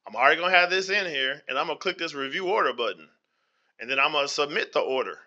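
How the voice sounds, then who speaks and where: A man with a slightly hoarse, strikingly bright and tense voice walks his viewers through a screen-share tutorial. His somewhat high voice has a gravelly fry, and the recording sounds dull and lo-fi. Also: animated, quick pace. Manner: talks straight to viewers, often asks if they hear him; drifts into preacher-like faith talk. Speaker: channel host. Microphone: voice-over to screen recording. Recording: dull lo-fi audio.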